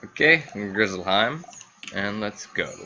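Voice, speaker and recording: Male gaming host, lowish and forceful tone, casual thinking-aloud commentary, repeats himself. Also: muffled recording. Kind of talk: casual let's play commentary. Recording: faint background noise.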